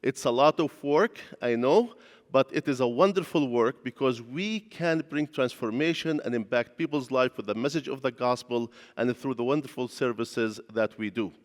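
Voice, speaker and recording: Palestinian-born pastor lecturing in a hall. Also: male voice, medium pitch, normal speed. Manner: earnest, joking asides. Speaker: pastor and church executive. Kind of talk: public lecture. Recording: echoey hall from far off.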